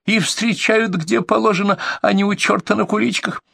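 A field doctor woman snaps back over the radio, irritable and sharp, as a male reader puts her on in a high voice. The gravel of his voice stays under hers. It is a dry, close recording. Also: fairly even intonation, normal speed, no echo.

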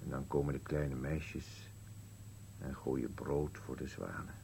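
Gravelly, deep, grumbling old husband. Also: male voice, fairly even intonation, slow pace, warm tone, slight background noise, full character voice. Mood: bleak, matter-of-fact. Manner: grumbling, contrary, blunt. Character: stubborn, bitter old husband on a park bench. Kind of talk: dialogue in an absurdist radio play.

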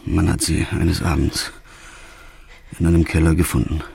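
Very deep gravelly male narrator. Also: little pitch movement, moderate pace, a little mellow, dry recording. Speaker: narrator and protagonist. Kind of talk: first-person crime drama narration.

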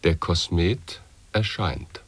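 An actor playing a terse man who deliberately intones absurd phrases in a radio play. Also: low-pitched, husky, full character voice. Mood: intoning. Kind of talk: avant-garde radio play recitation.

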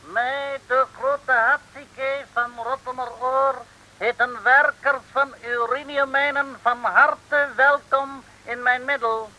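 Pompous ruler w mock chinese accent, high slow speechifying, old radio play. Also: male voice, fairly even intonation, pushed and bright, full character voice, poor audio. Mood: pompous, commanding. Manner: grandiose, declamatory pidgin speech. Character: pompous Chinese island ruler addressing his subjects. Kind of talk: 1950s Dutch radio serial acting.

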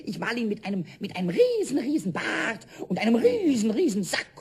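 Radio play kobold: tiny boastful imp, extremely high, swooping, cackling, sing-song teasing. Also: male voice, huge pitch swings, brisk, darkish tone, slightly rough, exaggerated voice. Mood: gleeful, boastful. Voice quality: squeaky, cackling. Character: cheeky, boastful little male kobold, childlike and excitable. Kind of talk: children's radio play acting.

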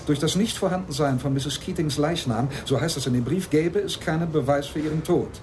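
An actor in a German radio drama playing a businesslike lawyer, sceptical, dry and questioning. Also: male voice, a little high, fairly quick, a little mellow, slight background noise, natural voice. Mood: accusing. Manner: interrogating, dry. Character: sceptical, businesslike male lawyer, dryly mocking.

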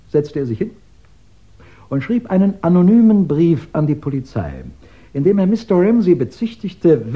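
Ein Mann spielt in einem Krimi-Hörspiel der sechziger Jahre einen scharfsinnigen Ermittler, der bedächtig, höflich und förmlich bohrend einen Mordfall darlegt. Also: male voice, fairly high pitch. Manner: deliberate, courteous, probing, formal. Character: shrewd male investigator methodically laying out a murder case. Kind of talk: 1960s German radio crime drama acting. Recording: dull 1960s radio recording.

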